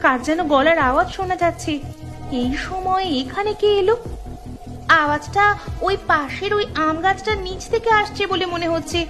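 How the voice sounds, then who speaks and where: A woman's voice: very high voice, normal speed. A woman voicing an anxious young village sister in a cartoon folktale, with an urgent way of talking. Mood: alarmed, curious. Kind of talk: Bengali cartoon dialogue. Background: music.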